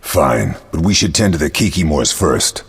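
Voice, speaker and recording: A man playing a grave, battle-hardened monster hunter in a video game, measured and matter-of-fact, with a raspy, gravelly voice. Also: extremely low pitch, very animated, full character voice. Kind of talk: video game dialogue acting. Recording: long cavernous reverb.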